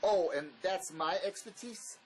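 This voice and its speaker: A man's voice: high voice, a little slow, a little hiss or hum, poor audio. A man playing a desperate, pragmatic fugitive ex-convict in an audio drama, terse and dismissive, bossy as he talks his partner round. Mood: defensive, irritated.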